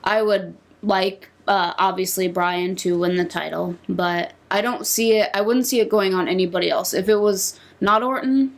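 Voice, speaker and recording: A woman's voice: mid-range voice, moderate pace, gravelly, few pauses. A woman co-hosting a casual panel chat about wrestling predictions. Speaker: co-host of a wrestling predictions show.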